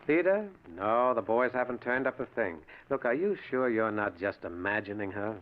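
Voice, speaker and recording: An American actor playing a cold, cocky, matter-of-fact gang boss in an old-time radio crime drama. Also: male voice, medium pitch, lively intonation, normal speed, natural voice. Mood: dismissive. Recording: narrow, tinny old radio recording.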